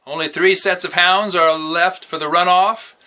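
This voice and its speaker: A man's voice: high-pitched, fairly even intonation, normal speed, pushed and bright, pitched above the performer's own voice. A man voicing a formal head judge announcing the rules of a hunting contest in a storybook reading.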